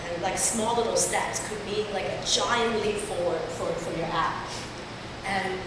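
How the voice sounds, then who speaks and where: A woman's voice: mid-range voice, measured pace. A woman from Hong Kong giving a conference talk in a chatty way, with many fillers and restarts. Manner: conversational, filler-heavy presenting. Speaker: conference speaker. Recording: echoey hall from far off, with noise.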